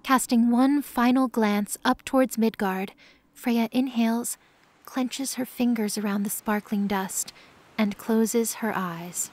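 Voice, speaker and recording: A woman narrator reading scripted fantasy in a measured storytelling manner. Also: on the high side, steady tone, a little mellow.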